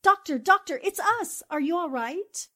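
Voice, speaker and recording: High-pitched, animated plucky newspaperwoman played by an actress. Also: normal speed, full character voice. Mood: urgent, concerned. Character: plucky, quick-witted newspaperwoman investigating a crime. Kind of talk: 1940s radio drama acting.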